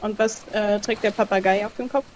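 A woman taking part as a player in a casual role-play game, questioning and deliberate. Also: medium pitch, steady tone, a little hiss or hum. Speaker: player, podcast listener guest.

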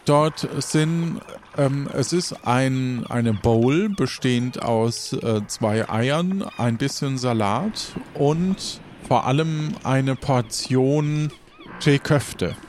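A man improvising the narration of an adventure game as game master, halting as he searches for words. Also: medium pitch, a little mellow, slight background noise.

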